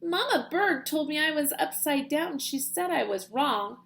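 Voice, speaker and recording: A woman voicing a naive, earnest young female bat in a children's storybook, expressive and full of exclamation. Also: sits high, measured pace, vocal fry, pitched above the performer's own voice, exaggerated voice. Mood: earnest, puzzled.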